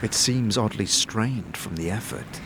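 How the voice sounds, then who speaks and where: A man giving scripted fantasy narration in a dramatic, measured storytelling manner. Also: lowish voice, background noise. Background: music.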